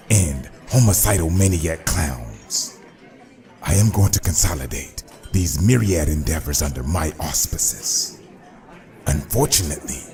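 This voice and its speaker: A man acting a suave, menacing crime boss in a motion comic, with a gravelly voice and formal, coldly polite delivery. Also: very deep voice, darkish tone, heavily acted. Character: suave, menacing crime boss consolidating his underworld empire.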